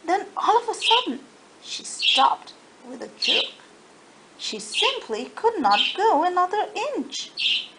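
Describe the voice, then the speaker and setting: Slow, high-voiced woman reading to children. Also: very animated, bright, forceful tone, poor audio. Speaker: storybook reader for children. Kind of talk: expressive read-aloud narration. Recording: faint background noise.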